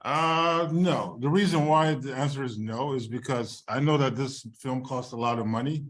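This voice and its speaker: A man's voice: mid-range voice, moderate pace. A man speaking as a screenwriter and filmmaker, answering questions informally on an online video call.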